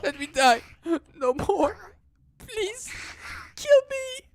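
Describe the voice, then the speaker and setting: A man voicing a wretched, slimy, inhuman creature that begs in agony to be killed, with high, strained pleading cries. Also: sing-song, drawn-out words, dry recording, exaggerated voice.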